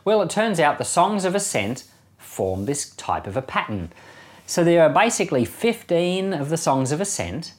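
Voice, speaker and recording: A man speaks as a pastor explaining scripture in an informal, conversational style. His mid-range voice moves up and down a great deal, giving the teaching an enthusiastic, animated feel. He likes to put rhetorical questions to the listener. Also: mid-range voice. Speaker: pastor teaching a Bible podcast. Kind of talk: informal Bible teaching commentary.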